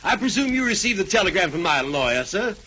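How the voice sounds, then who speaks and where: An actor playing a courtly, formal old colonel with a Southern US accent in 1940s radio comedy style. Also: male voice, sits high, sing-song, fast talker, noisy background. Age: older. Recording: dull 1940s radio broadcast recording.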